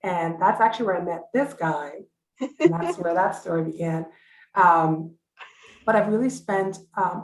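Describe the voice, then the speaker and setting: A woman in her thirties from Anguilla, a guest telling her story in a relaxed, easygoing way. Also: lowish voice, reverberant, rough recording. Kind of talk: conversational interview answer.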